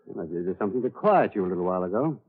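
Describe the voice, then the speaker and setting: An actor in a vintage radio thriller playing a firm, measured prison doctor who coaxes his patient. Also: low-pitched, lively intonation, husky, exaggerated voice. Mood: probing, calm. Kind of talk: 1940s radio thriller acting. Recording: tinny, narrow-band vintage radio recording.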